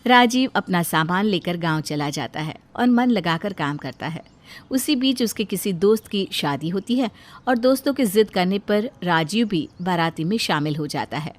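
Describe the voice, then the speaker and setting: A woman's voice: lowish voice, expressive, moderate pace. A woman narrator reading a scripted cartoon tale in storytelling style.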